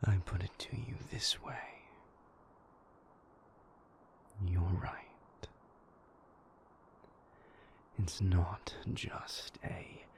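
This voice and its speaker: A man playing a moody, brooding vampire in an intimate audio roleplay, hushed and gravelly, speaking deliberately with weary, dry wit. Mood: wry, reluctant. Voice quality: breathy, hushed, gravelly low voice. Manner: slow, deliberate, formal wording, dryly wry.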